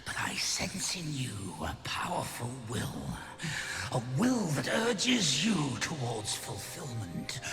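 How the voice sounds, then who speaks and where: An ancient, withered king of a fantasy game, bitter and grandiose. His voice is gravelly and very slow, with archaic, theatrical phrasing that sinks into breathy whispers. It rings through a long cavernous reverb. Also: on the high side, sharp tone, exaggerated voice. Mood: solemn. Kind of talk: fantasy video game acting.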